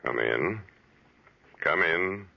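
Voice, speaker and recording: An actor playing a huge, sinister murderous robber, deep and gravelly, slow and menacing, in a 1940s radio drama. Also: male voice, lively intonation, exaggerated voice. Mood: low summons. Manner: slow, menacing, matter-of-fact. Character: huge sinister man, murderous robber who drugs guests. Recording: muffled vintage 1940s radio recording.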